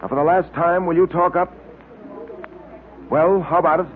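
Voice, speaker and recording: Firm, suspicious detective played by a man, vintage radio style, higher-pitched, pressing delivery, phone-thin noisy sound. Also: steady tone, normal speed, natural voice. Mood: grave warning. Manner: stern, insistent, clipped interrogation. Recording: old tinny noisy radio recording.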